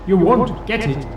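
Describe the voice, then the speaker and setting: An actor playing a defiant male prisoner who refuses to leave, speaking in a slow, brooding, unhinged way. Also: high voice, a little hiss or hum, full character voice. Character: defiant male prisoner clinging to his village. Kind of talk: fan audio drama acting. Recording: echoey with music behind.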